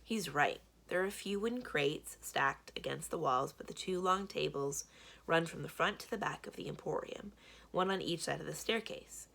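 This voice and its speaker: A woman reading a children's book aloud as expressive scripted narration. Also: deep voice, moderate pace, gravelly. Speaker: library read-aloud reader.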